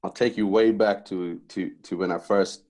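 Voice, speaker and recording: A man from Zimbabwe with a very low, deep voice talks through his past in a loose, rambling way. He restarts sentences and fills gaps with "um" and "you know." He is a middle-aged basketball coach chatting as a podcast guest. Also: fairly even intonation, moderate pace, a little mellow. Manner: rambling, many fillers and restarts. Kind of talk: casual interview chat.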